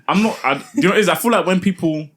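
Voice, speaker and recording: A woman's voice: fairly low pitch, racing. A young woman hosting a podcast, asking eager questions in casual chat. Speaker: podcast host.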